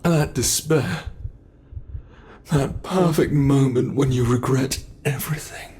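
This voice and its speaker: A British man voicing a cruel male monster who keeps a human captive, taunting in a slow, mocking way for an ASMR horror roleplay. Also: medium pitch, dark tone, close to an everyday voice. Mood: gloating menace.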